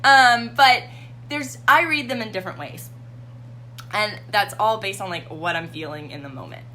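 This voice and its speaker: A slightly hoarse woman hosting a live tarot reading and chatting casually with her viewers. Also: medium pitch, normal speed, slight background noise. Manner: chatty, warm, greets viewers by name.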